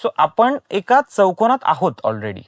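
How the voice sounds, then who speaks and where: A man's voice: sits high, wildly swooping pitch, a little slow, dry recording, clean studio sound. A man explaining his craft conversationally in an interview, emphatic, repeating phrases for stress and mixing in English words. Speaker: guest, voice and dubbing actor.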